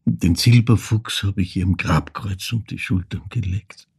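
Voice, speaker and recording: An actor playing an elderly man in a radio drama monologue, recalling his dead mother in halting, hushed, reflective phrases with a husky, gravelly voice. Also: low-pitched, heavily acted. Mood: wistful, mournful. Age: older.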